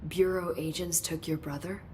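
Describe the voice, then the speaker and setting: An actress playing an earnest, inquisitive agency researcher in a video game, articulate and matter-of-fact. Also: female voice, sits low, fairly even intonation, normal speed, a little hiss or hum, close to an everyday voice. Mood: curious, earnest. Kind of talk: video game cutscene acting, conversational exposition.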